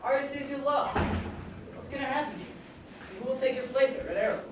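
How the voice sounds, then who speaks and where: A man's voice: very high-pitched, fast talker. Man playing an eager loyal counselor in a hall. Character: male camp counselor Dave, eager and loyal. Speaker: camp counselor in an amateur play. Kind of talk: amateur stage play acting. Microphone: far room mic. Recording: noisy echoey hall from far off.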